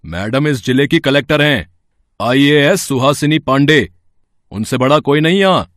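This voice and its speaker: Guy doing a gruff cop in a cartoon, bossy and brusque, close dry audio. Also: medium pitch, expressive, moderate pace, fairly bright tone, dry recording, close to an everyday voice. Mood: boastful. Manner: brusque, boastful, emphatic. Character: gruff, brash policeman guarding a senior officer. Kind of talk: Hindi cartoon story dialogue.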